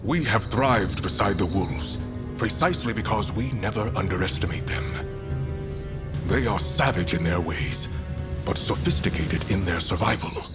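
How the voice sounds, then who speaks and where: An actor playing a stern, measured middle-aged patriarch who rules a fantasy mountain council, speaking formally and deliberately. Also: male voice, sits low, loud music behind the voice, close to an everyday voice. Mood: measured, reasoning. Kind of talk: fantasy audio drama acting.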